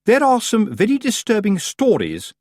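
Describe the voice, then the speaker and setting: A man voicing a pompous, falsely polite middle-aged woman witch with a high, sugary voice. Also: wildly swooping pitch, normal speed, a little mellow, no room sound, full character voice. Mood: falsely sweet. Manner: falsely polite, gushing, grave.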